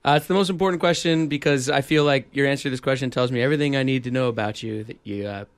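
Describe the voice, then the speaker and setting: A man hosting a podcast, joking with guests and running through a rapid sign-off of plugs and shout-outs. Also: mid-range voice. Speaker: podcast host. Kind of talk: casual chat and show sign-off.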